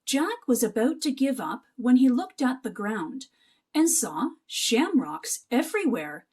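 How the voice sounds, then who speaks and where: A woman narrating a children's picture book aloud as an expressive, animated storyteller. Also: fairly high pitch, unhurried, breathy edge.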